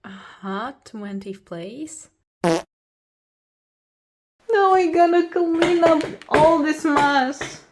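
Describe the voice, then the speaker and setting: A woman's voice: sits high, drawn-out words, long pauses. A young woman talking casually and candidly while busy with what she is doing. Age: young adult. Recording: faint background noise, clicks.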